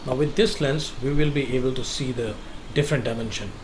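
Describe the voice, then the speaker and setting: A man's voice: mid-range voice, brisk, noisy background. A man hosting a casual game walkthrough, guiding the viewer step by step and often repeating himself. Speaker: gameplay walkthrough host.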